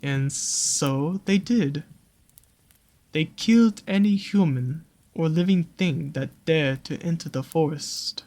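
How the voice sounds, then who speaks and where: A voice actor playing a sly, possessive naga monster in an intimate roleplay, speaking in an unhurried, soothing, coaxing way with doting pet names. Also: medium pitch, darkish tone. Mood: solemn storytelling. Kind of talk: slow intimate roleplay audio with storytelling.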